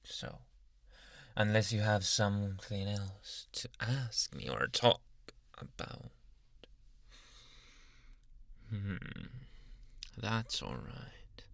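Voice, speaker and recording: A man playing a possessive yandere psychiatrist in an ASMR roleplay, soft-spoken, polite and quietly menacing, with hushed, insinuating questions. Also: fairly low pitch, lively intonation, gravelly, no room sound, full character voice. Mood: soft, probing. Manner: slow, hushed, insinuating, many pauses and questioning hums. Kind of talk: ASMR roleplay, close whispering.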